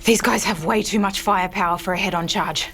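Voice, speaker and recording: A woman playing a tough, decisive heroine in a fantasy table read, brisk and commanding. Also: mid-range voice, fairly bright tone, slight background noise, natural voice. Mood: urgent, commanding.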